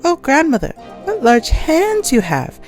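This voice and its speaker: High-pitched innocent little girl. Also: huge pitch swings, measured pace, darkish tone, faint music behind, full character voice. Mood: astonished. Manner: exclaiming, wondering. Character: sweet, innocent, wide-eyed little girl.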